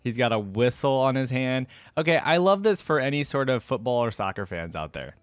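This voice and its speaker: A man hosting a reaction video in a casual, informal way. His pitch moves around in a lively, animated way as he shares opinions and then softens them. The sound is dry and close. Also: a little fast, smooth, no echo.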